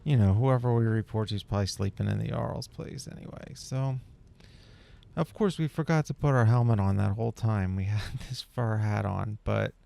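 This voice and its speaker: A man's voice: fairly low pitch, normal speed, gravelly, dark tone. A man hosting a gameplay video, giving casual, rambling, laid-back commentary in character.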